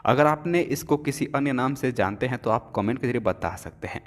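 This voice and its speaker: A man's voice: mid-range voice, a little fast. A man giving a chatty home-remedy cooking demonstration in a home kitchen, often addressing viewers as friends. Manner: chatty, keeps saying "friends" and filler "na". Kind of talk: cooking demonstration with health tips. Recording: long reverb.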